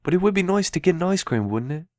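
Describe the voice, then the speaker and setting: Man playing a childlike, gentle, higher-voiced young man. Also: animated, quick pace, dry recording, clean studio sound. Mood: hopeful, pleading. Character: childlike, gentle, polite young man, mentally slow runaway. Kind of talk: audio drama acting.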